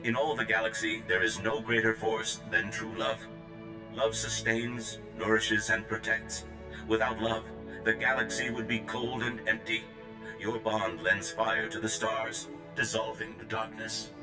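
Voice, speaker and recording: An actor voicing a prim, solemn droid officiant in a video game, with formal, ceremonial delivery. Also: male voice, on the low side, measured pace, roomy sound, music in the background, lightly acted, low-quality recording. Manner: formal, flatly ceremonial reciting. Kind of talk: scripted video game acting.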